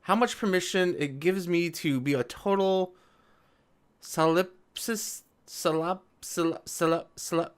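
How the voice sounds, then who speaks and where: A man's voice: high-pitched, takes it slowly, smooth. A man hosting a casual commentary video, reading aloud and adding sarcastic, argumentative remarks.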